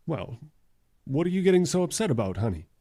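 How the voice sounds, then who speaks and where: A man's voice: fairly high pitch, big pitch swings, brisk, dark tone, no room sound, clean studio sound. A man playing a jealous, outwardly polite fiancé with a wry, pointed manner in an old-time radio drama. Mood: suspicious, needling.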